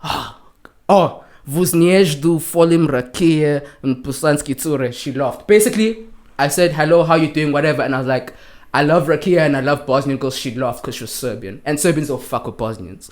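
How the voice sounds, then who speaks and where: A man co-hosting a podcast, telling stories in an animated, slangy way. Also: on the high side, moderate pace. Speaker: podcast co-host. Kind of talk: casual chat.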